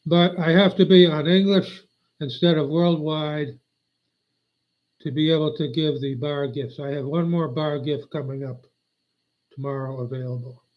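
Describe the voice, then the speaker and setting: Older man, livestreamer, forgetful and grumbling, slightly high voice, muffled lo-fi sound. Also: steady tone, measured pace. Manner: meandering, forgetful, aggrieved. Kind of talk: rambling live stream chat. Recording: dull lo-fi audio.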